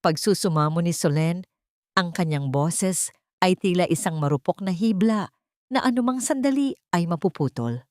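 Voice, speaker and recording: A woman's voice: fairly low pitch, expressive, normal speed, darkish tone, dry recording, studio quality. A woman reading a scripted dramatic audiobook as narrator, in a solemn, suspenseful manner. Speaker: story narrator.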